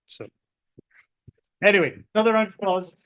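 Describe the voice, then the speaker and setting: A loud man with a Scottish accent chairing a conference session, talking with some fillers. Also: high-pitched, normal speed, dry recording. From Scotland. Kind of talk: conference discussion. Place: conference hall.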